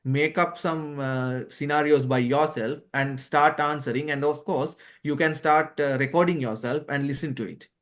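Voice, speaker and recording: Higher-pitched man, non-native English speaker. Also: steady tone, moderate pace, few pauses, fairly bright tone. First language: not English. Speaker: guest, doctor who passed a surgical exam. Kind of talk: conversational interview answers.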